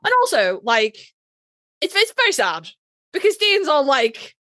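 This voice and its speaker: A woman with a Yorkshire accent and a fairly high voice that swings widely in pitch. She talks like an opinionated podcast co-host, blunt and sweary, leaning hard on words for drama. The sound is dry and close. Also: very animated, measured pace, pushed and bright, no echo. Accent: Yorkshire English. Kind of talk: casual opinionated fan chat, ranked countdown.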